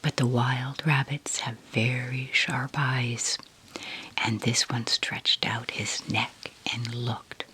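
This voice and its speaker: A woman softly whispering a bedtime story in an ASMR style, taking her time as she narrates. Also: very deep voice, level tone, a little hiss or hum, rough recording. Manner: soft, unhurried whispering.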